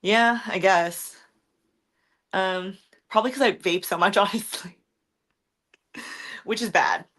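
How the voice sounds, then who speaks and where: A young woman with a deep voice, chatting frankly in a rambling livestream, answering viewer questions with lots of ums, likes and swearing. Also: slow, pauses often, fairly bright tone. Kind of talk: casual livestream chat.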